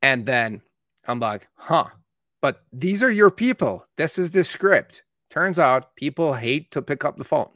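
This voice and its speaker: A man in his thirties, a marketing agency owner from Canada who was born in Iran, telling his story in steady, matter-of-fact conversation. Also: fairly high pitch, unhurried, no echo, clean studio sound. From born in Iran, raised in Canada. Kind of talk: casual conversation.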